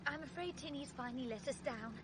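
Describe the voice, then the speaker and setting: An actress playing a plucky, excitable young Englishwoman with an English accent, in the style of a vintage radio play. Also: high voice, fast. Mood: brave, urgent. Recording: noisy vintage radio drama recording.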